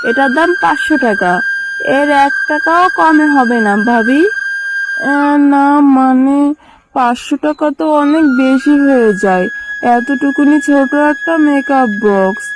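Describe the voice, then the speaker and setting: A woman's voice: high voice, rough recording. A woman voicing a grown female fox villager in a cartoon, fussy and chatty with a scolding manner. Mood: hesitant, bargaining. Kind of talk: cartoon character acting.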